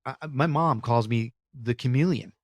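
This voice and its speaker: A man from Connecticut hosts a podcast interview, enthusiastic and effusive, reacting with emphatic wonder to what he hears. His voice is at a middle pitch for a man. The recording is dry and close. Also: moderate pace, no echo. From Connecticut, USA. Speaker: podcast host.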